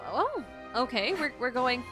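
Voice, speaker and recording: A woman's voice: high-pitched, sing-song, a little slow, music in the background. A woman game streamer giving casual, exclamatory live reaction commentary, swearing freely.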